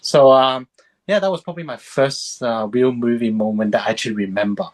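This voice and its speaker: A man's voice: medium pitch, fairly quick. A man in his thirties, born in Hong Kong and raised in Sydney, chatting as a film critic being interviewed in an easy, rambling way with lots of fillers and restarts. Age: 30s. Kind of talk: casual interview chat.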